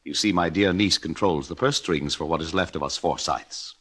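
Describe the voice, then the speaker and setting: A man's voice: deep voice, moderate pace, fairly bright tone, slightly rough. An actor playing a bitter, sardonic uncle in an old radio drama, mock-polite and pointed in his formal speech. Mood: sardonic, needling. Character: bitter, sardonic uncle of a decaying wealthy family.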